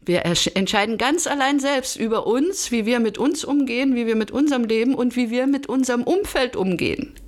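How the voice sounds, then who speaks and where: A woman's voice: a little high, fairly quick, hardly any pauses. Woman from Berlin explaining things in an interview. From Germany, Berlin. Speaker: podcast guest, coach. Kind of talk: conversational interview answers.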